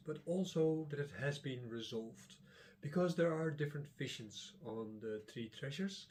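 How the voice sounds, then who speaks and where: A middle-aged man whose first language is Dutch gives a casual lesson, pausing often with filler sounds. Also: mid-range voice, a little mellow, a little hiss or hum. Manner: rambling, many filler sounds and restarts. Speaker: Taoist teacher and channel host.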